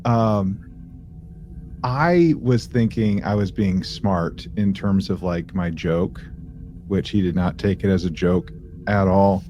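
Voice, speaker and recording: A man's voice: sits low, slow, mellow, dull audio, a little hiss or hum. A man co-hosting a podcast in casual conversation, rambling and joking in slangy everyday language. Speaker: podcast co-host. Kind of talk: casual chat and anecdotes between friends.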